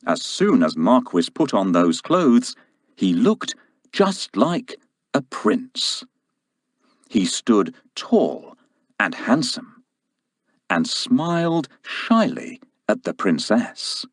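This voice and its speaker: A man narrating a children's story from a script in an expressive, deliberate way. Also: mid-range voice, no echo, studio quality.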